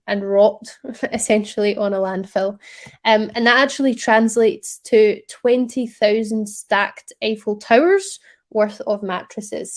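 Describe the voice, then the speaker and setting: A Scottish woman, a startup co-founder, giving an upbeat, chatty pitch presentation over a video call, with frequent ums. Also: a little mellow. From Scotland.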